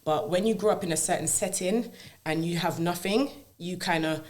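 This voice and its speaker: A woman from Jamaica speaks as a podcast guest, her voice sitting fairly low. She tells her story earnestly and reflectively on a studio mic, often pausing to ask whether she is understood. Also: darkish tone. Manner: earnest and reflective, often asks "you know what I mean". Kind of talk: personal life story in a casual interview.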